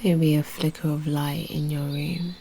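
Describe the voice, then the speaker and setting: A British woman guiding a meditation in a soft, breathy, near-whispered voice, soothing and unhurried, leaving long pauses. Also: low voice, level tone, a little hiss or hum. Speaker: meditation guide and host. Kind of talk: guided meditation narration.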